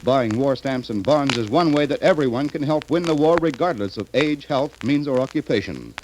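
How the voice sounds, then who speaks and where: A man's voice: mid-range voice, normal speed, a little mellow. A North American man announcing in 1940s radio style, with a folksy, earnest sales delivery. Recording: faintly noisy 1940s radio recording.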